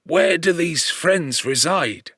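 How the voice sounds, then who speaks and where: A man voicing a kindly, poor old blind cottager in an audiobook, gentle, earnest and courteous. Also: fairly high pitch, animated, slow, breathy edge, no echo, clean studio sound, voice pushed up, exaggerated voice. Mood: gentle, reassuring. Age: older. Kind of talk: audiobook character voice.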